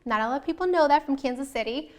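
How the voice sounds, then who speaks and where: A woman's voice: sits high, expressive, racing, darkish tone. A young woman from the US Midwest, born in Colombia, hosting a show and talking informally and earnestly to viewers about herself, often rephrasing as she goes. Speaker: show host.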